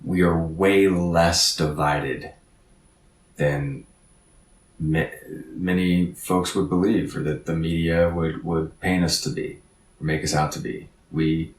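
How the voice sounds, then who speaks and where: A man's voice: low-pitched, fairly even intonation, slow pace, reverberant, far from the mic, rough recording. A man in his thirties giving an earnest, reflective motivational talk as a podcast guest, often repeating words as he thinks. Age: 30s.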